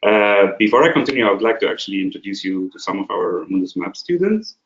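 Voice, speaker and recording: Male academic presenting a webinar, halting delivery full of fillers, echoey room, muffled lo-fi sound. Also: fairly low pitch, expressive, noisy background. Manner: halting, frequent filler sounds, poses rhetorical questions. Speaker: associate professor and program coordinator. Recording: roomy, dull lo-fi webinar audio.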